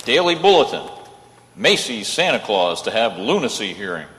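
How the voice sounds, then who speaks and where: An actor playing an earnest, loyal, resourceful lawyer who argues persuasively in an old-time radio play. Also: male voice, fairly low pitch, big pitch swings, normal speed, fairly bright tone, a little hiss or hum. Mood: excited. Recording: echoey hall with long reverb.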